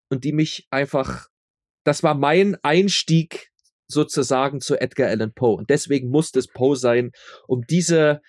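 A man's voice: mid-range voice, darkish tone, dry recording. Young man in a casual interview, dry close sound. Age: young adult. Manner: repeats his words for emphasis. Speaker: audio drama maker being interviewed.

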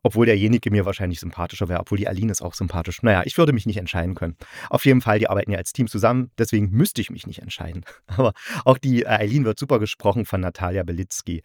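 A man's voice: on the low side, rapid-fire, darkish tone, no echo, studio quality. A man hosting a podcast, giving a casual, chatty and enthusiastic review in colloquial language. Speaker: podcast host and radio play reviewer.

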